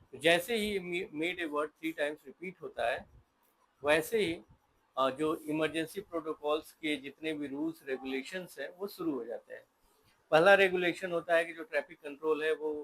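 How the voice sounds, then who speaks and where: An Indian man presents an informal explainer in a husky voice that sits a little high. He speaks in an explanatory way, addressing listeners directly and mixing English terms into his sentences. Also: a bit bright, rough recording.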